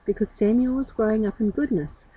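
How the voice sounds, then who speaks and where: A woman's voice: a little high, brisk, dark tone, slightly rough, low-quality recording. A woman storyteller reading a children's story expressively, with informal asides.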